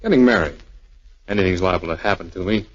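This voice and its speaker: Young male lieutenant, hesitant, sincere, low husky voice, 1940s radio drama, dull broadcast. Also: fast talker. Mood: hesitant, earnest. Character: earnest, hesitant young lieutenant about to ship out.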